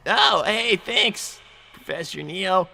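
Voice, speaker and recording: A man voicing an excitable young male fox, an earnest, chatty inventor sidekick who stammers and rambles in live role-play. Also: high-pitched, takes it slowly, gravelly, full character voice. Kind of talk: improvised in-character livestream role-play. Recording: long reverb, music behind. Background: music.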